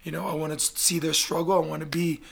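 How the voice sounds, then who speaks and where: A middle-aged man from Canada telling his story in a casual interview, blunt and animated, swearing freely. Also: a little high, quick pace, creaky. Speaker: guest, basketball player.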